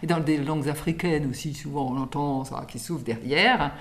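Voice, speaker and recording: A woman from France speaks in a slightly low voice, a singing teacher being interviewed about her work. She explains patiently, reaching for everyday examples and breaking into light laughs. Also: normal speed. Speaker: singing teacher and author, guest.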